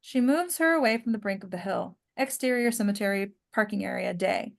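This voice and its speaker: Woman narrating stage directions, mid-pitched. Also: fairly quick, vocal fry. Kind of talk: scripted narration at a table read.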